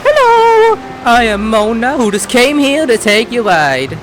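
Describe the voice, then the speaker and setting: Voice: medium pitch, big pitch swings, measured pace, soft background music. A gamer giving casual, chatty commentary, muttering along to the game and its characters. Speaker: game streamer.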